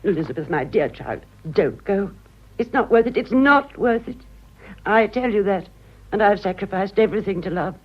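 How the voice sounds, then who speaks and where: A woman acts out a worldly older British society lady in a radio play. She is wistful and fond, with a breathy mid-range voice on slightly muffled audio. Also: mid-range voice, animated, normal speed, natural voice. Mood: imploring. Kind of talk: radio drama acting.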